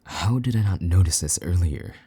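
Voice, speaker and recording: A man playing a possessive, condescending vampire knight in an intimate roleplay, taunting and commanding in a husky, breathy half-whisper. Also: deep voice, animated, normal speed, warm tone, no echo, heavily acted. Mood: jealous, disgusted. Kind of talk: intimate roleplay audio drama.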